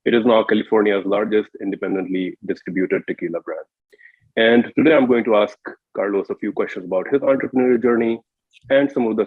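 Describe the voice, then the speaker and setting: Husky low-ish guy hosting the show, sounds like he's on a phone. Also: no room sound. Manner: scripted-sounding intro, then friendly questions. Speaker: podcast host. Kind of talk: interview hosting. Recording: tinny phone-like line, dry.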